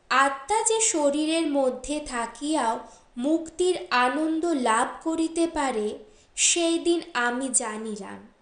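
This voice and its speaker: A woman narrating a literary short story as an audiobook, measured and expressive. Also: sits high. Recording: roomy echo.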